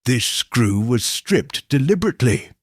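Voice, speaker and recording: A man voicing a stern, suspicious police investigator with a sharp, grim manner in a mystery story. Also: medium pitch, sing-song, unhurried, slightly rough, no room sound, clean studio sound.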